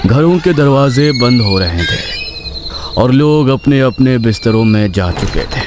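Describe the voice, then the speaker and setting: A man telling a scripted horror story in a dramatic, suspenseful manner with pauses for effect. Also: lowish voice, noisy background.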